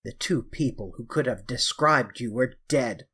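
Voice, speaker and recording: A grim sailor bent on revenge in a storybook reading, as a male narrator puts him on. He speaks loudly in short, threatening commands. His voice has a rough, husky edge. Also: mid-range voice, measured pace. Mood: menacing. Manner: loud, threatening, clipped commands. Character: vengeful sailor man, grim and menacing. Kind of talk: audiobook character voice.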